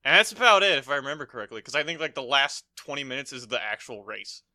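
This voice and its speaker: A man's voice: mid-range voice, lively intonation, fast, bright, forceful tone. A man co-hosting a podcast in casual movie-review chat, blunt and given to swearing. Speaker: podcast co-host.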